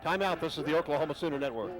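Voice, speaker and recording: A man giving radio play-by-play of a football game, rapid and building to excited shouts on big plays. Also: on the high side.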